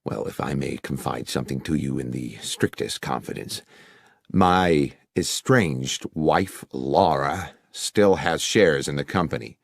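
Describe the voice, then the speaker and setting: A man's voice: a bass voice, a little slow, vocal fry, no room sound, studio quality, pitched below the performer's own voice, exaggerated voice. A man voicing a formal, wordy middle-aged businessman client who is fond of puns, in an audiobook. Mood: embarrassed, confiding. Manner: formal, long-winded, jovially self-amused. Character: anxious middle-aged businessman client, verbose, pun-loving. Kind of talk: audiobook character dialogue.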